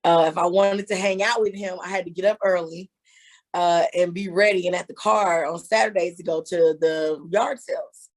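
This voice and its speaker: A woman's voice: on the low side, fairly even intonation, moderate pace. A woman in her thirties, a hairstylist and author, talking candidly as an interview guest. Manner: candid, storytelling, laughing. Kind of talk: casual interview.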